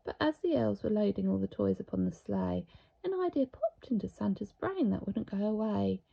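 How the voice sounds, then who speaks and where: A woman reading a children's storybook aloud with theatrical, deliberate, expressive storytelling. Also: medium pitch, vocal fry, highs cut off. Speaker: storybook reader.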